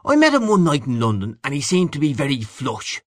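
A man's voice: mid-range voice, huge pitch swings, brisk, dry recording, studio quality, pitched above the performer's own voice. A man voicing a modest, timid man in an audiobook, speaking in a reserved, earnest way. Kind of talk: audiobook character dialogue.

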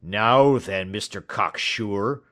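A man voicing a gruff, hot-tempered poultry salesman in an audiobook, blustering, snappish and emphatic. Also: lowish voice, takes it slowly, bright, forceful tone. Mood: smugly triumphant. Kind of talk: audiobook character dialogue.

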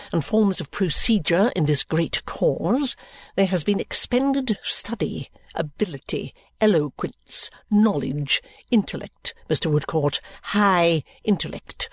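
A woman voicing a pompous, condescending senior male lawyer in an audiobook, with grand, drawn-out phrasing. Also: lowish voice, a little mellow, dry recording. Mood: grandiose, severe. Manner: orotund, drawn-out, pompous, self-satisfied speech.

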